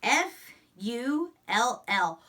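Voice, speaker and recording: A woman teacher giving a phonics lesson and read-aloud to young children, encouraging, enunciating deliberately and repeating words, picked up by a distant microphone. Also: a little high, very animated, sharp tone. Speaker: elementary school teacher.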